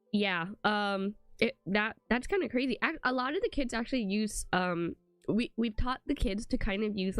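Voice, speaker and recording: A woman's voice: mid-range voice, clear, resonant tone, no room sound. A young woman with a congested voice chatting casually to her live stream, rambling with lots of "like" and "um" fillers. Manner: rambling, full of "like" and "um".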